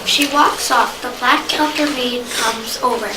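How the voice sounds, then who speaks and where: A woman's voice: a little high, unhurried. A young woman reading scripted narration in an amateur student reading. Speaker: student narrator in a reader's theater. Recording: very noisy, echoey, distant mic.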